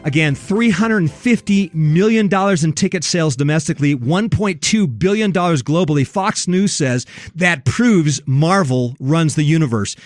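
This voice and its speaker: A man's voice: a little high, expressive, moderate pace, no echo. An American man hosting a religious talk radio show, speaking emphatically and rhetorically to his listeners.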